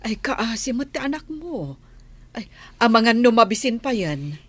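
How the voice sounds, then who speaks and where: A woman's voice: fairly high pitch, very animated, normal speed, fairly bright tone, husky, full character voice. An actress playing a kindly, motherly and generous older village woman in an Ilocano radio drama. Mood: kindly, concerned.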